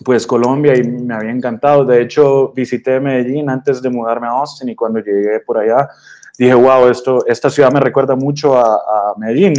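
Young adult man from Honduras, DJ guest; mellow, warm tone; easygoing, halting delivery. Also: mid-range voice. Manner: hesitant, many fillers and restarts. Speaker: guest DJ and producer. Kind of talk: relaxed storytelling interview answers. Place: radio studio.

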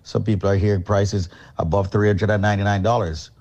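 A man hosting a radio show and pitching a product, brisk, emphatic and repetitive. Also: steady tone, darkish tone, dull audio. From Jamaica. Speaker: radio host and company chairman.

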